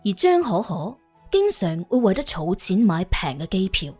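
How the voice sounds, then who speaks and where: A woman narrating an audiobook in an animated, colloquial storytelling style with a wry, expressive manner. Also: medium pitch, moderate pace, husky, soft background music.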